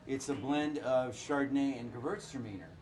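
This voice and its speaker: A hoarse middle-aged man, a winemaker, talking casually and haltingly with many filler uhs. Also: mid-range voice, darkish tone, slight background noise, rough recording. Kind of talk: casual chat.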